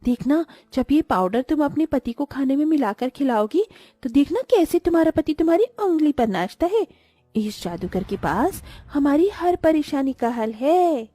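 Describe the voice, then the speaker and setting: A woman's voice: high voice, big pitch swings, moderate pace, dark tone, no echo. A woman voicing a confident, gossiping village woman in a cartoon story, speaking persuasively.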